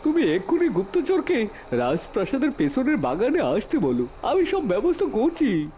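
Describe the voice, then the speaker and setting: A man's voice: very high voice, wildly swooping pitch, moderate pace, a little mellow, slight background noise, exaggerated voice. A man playing a scheming, anxious royal minister in a fairy-tale cartoon, with a dramatic, emphatic delivery. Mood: anxious, conspiring.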